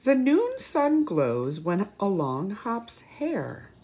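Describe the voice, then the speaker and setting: A woman reading a picture book aloud expressively for young children, with pauses, asides and questions to her listeners. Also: mid-range voice, huge pitch swings, warm tone, breathy edge. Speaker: children's story reader and teacher.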